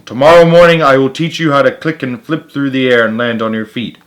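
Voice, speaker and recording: A man's voice: medium pitch, fairly even intonation, a little fast, natural voice. A man voicing a wise old click beetle mentor, instructive and encouraging, in a storybook.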